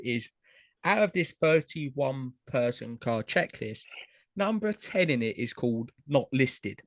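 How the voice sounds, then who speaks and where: A man's voice: medium pitch, fairly bright tone, no room sound. A man co-hosting a podcast, chatting casually and running through long lists of names with lots of fillers. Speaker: podcast co-host. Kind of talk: casual chat about trading cards.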